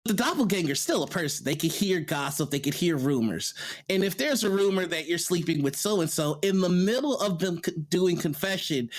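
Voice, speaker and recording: A panelist in a casual tabletop game discussion, explaining ideas conversationally through examples. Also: mid-range voice.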